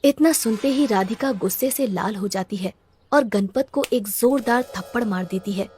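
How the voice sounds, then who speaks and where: A woman's voice: medium pitch, moderate pace, darkish tone, a little hiss or hum. A woman storyteller giving scripted narration for a children's story.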